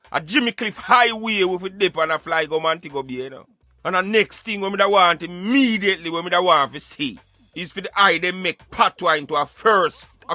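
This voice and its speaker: Jamaican male, forceful and rhetorical opinion talker, close dry sound, faint music underneath. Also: fairly high pitch, no echo. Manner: emphatic, rhetorical, preachy. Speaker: radio show host. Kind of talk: impassioned opinionated talk in Patois.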